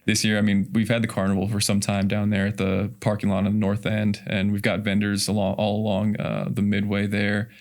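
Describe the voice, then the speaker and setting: A man's voice: fairly low pitch, completely flat delivery, a little fast, hardly any pauses. An American man from Kansas talking casually as an interview guest, explaining things informally. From Kansas, United States. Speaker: guest, festival committee chairman.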